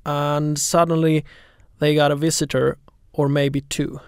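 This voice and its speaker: A man's voice: fairly high pitch, flat delivery, measured pace, smooth, dry recording, clean studio sound. A man with a Swedish accent, a journalist telling a story in an interview. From Sweden. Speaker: journalist and podcaster.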